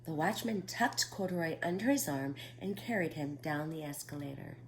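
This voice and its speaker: A woman reading a children's picture book aloud at storytime, animated and pausing to ask her young listeners questions. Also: low voice, slight background noise.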